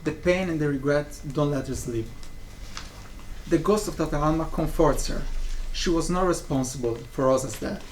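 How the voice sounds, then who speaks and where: Higher-pitched young man narrating, faint noise. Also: moderate pace, a little mellow, rough recording. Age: young adult. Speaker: student narrator of a play summary. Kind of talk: scripted dramatic narration.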